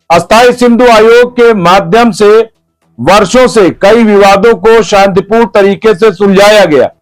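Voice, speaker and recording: A man reading a scripted news explainer in a formal, measured way, in short phrases. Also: high-pitched, poor audio. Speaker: news narrator.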